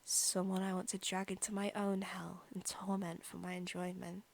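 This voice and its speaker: A woman playing an obsessive, sadistic centuries-old female vampire in an ASMR roleplay, speaking softly and breathily with menacing, teasing turns into whispers. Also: fairly low pitch, little pitch movement, moderate pace, vocal fry. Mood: menacing, lustful. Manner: soft, menacing, teasing, often dropping into whispers.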